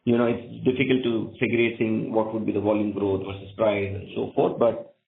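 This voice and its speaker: Creaky man on an echoey phone line. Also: on the low side, little pitch movement, a little fast. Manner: frequent "right?" check-ins. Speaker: company executive answering analysts. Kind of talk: earnings call answers, explanatory business talk. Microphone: conference call line. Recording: phone-like narrow band, echoey conference line.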